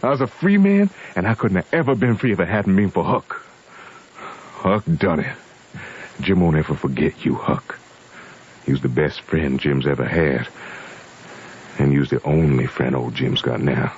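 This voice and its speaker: A man's voice: extremely low pitch, lively intonation, moderate pace, long pauses, darkish tone, slightly rough, heavily acted. A man playing a hopeful, devoted runaway enslaved man with a Southern American accent and heartfelt dialect speech in an old-time radio drama. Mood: grateful. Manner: heartfelt dialect speech, refers to himself by name. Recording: muffled old radio recording.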